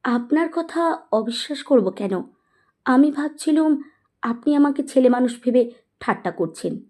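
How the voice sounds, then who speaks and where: A woman's voice: high voice, animated, unhurried, darkish tone. A woman playing a curious, sceptical young history student in an audio story, questioning and wide-eyed. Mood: disbelieving. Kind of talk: dramatised audio story acting.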